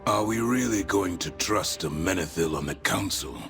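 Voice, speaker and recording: An actor playing a grim, wary undead soldier in a video game cutscene, his voice husky and rough. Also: low-pitched, animated, moderate pace, a bit bright, soft background music.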